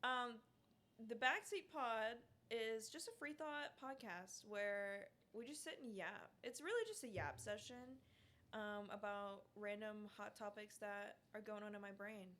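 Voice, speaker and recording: A woman in her twenties co-hosting a podcast in casual, joking chat, laughing often, with a slightly rough, gravelly voice. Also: medium pitch, a little slow, a bit bright. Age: 20s. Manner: joking, giggly, chatty. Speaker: podcast co-host.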